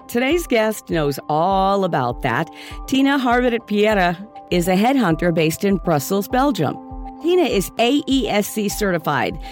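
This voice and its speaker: A woman reading a scripted podcast introduction in an upbeat, emphatic way. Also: on the low side. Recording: music bed under the voice.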